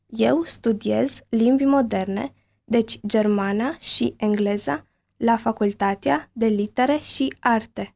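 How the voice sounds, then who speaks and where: A woman reading a bilingual language-lesson dialogue and word list with careful, deliberate enunciation. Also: a little high, vocal fry, darkish tone.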